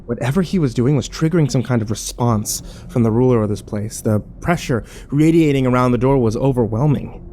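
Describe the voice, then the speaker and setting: A man's voice: medium pitch, fairly quick, warm tone, a little hiss or hum, natural voice. A man playing a troubled, wary survivor who narrates his ordeal in a brooding first-person horror drama. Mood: uneasy.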